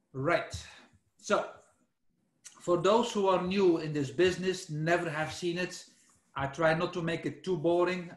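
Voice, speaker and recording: A man's voice: on the high side, reverberant. A man from Belgium with a Flemish accent, presenting a technical webinar in a loose, explanatory way with self-corrections. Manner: informal and explanatory, with self-corrections and "let's say" fillers. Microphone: screen-shared webinar, speaker not seen.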